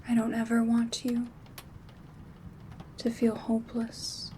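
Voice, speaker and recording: A woman performs a kindly witch in a hushed, breathy ASMR whisper. Her delivery is very slow and very even, soothing and affectionate, with formal, tender phrasing. Soft rain ambience runs behind her. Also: a little high. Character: gentle, affectionate female witch and caring friend. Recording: steady background rain ambience noise.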